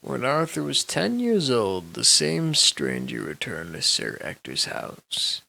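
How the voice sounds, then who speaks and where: Slow, gravelly man telling a bedtime story. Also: medium pitch, huge pitch swings, sharp tone. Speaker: storyteller reading aloud.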